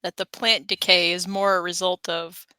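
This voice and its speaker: A woman playing a tabletop game, bantering casually in a halting, musing way with mock-formal wording. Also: fairly low pitch, flat delivery, sharp tone, smooth, dry recording.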